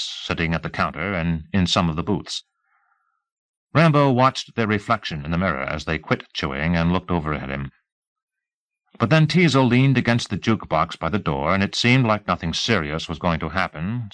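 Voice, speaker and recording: Low-voiced man narrating a novel. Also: a bit bright, no echo, rough recording. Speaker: audiobook narrator.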